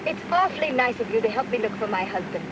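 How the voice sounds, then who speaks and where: A woman's voice: a little high, lively intonation, fast talker, noisy background, close to an everyday voice. An actress playing a jealous, huffy wife in an old radio drama, sarcastic and dramatic about her straying husband. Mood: catty. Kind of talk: old time radio comic acting. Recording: old radio recording, loud music.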